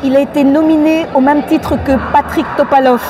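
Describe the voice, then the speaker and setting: Woman from belgium, fairly high voice, hesitant storyteller, crowd clearly heard behind. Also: level tone, brisk. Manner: hesitant, many fillers, storytelling. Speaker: guest musician. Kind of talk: casual interview. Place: outdoors at an urban site. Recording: outdoor recording with crowd behind.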